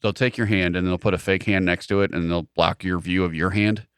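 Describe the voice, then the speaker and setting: Low, quick-talking joking man. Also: fairly even intonation, smooth, dry recording, clean studio sound. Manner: quick, joking, riffs with imagined lines. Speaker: podcast co-host. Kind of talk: casual chat.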